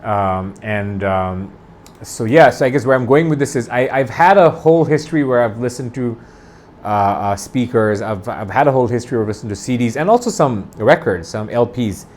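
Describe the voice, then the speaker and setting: A man's voice: medium pitch, expressive, moderate pace, a little mellow. A man in his forties from Bangladesh, talking through a review unscripted, enthusiastic and rambling, often restarting his phrases. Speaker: audio gear reviewer.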